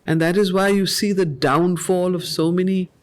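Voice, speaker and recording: A woman leading a study circle, reading poetry aloud in a slow, measured way and repeating lines to explain them. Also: lowish voice, fairly even intonation, a little mellow. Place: meeting room of a study group.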